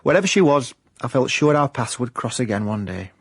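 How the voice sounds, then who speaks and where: A soft-hearted Yorkshire private eye in a radio comedy drama. His voice is husky and closely, drily recorded, and he talks with wistful, hesitant self-importance. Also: mid-range voice, big pitch swings, brisk, dry recording, clean studio sound. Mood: wistful, glum. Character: hapless, sentimental Yorkshire private investigator, pompous but soft-hearted. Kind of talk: comic radio drama acting.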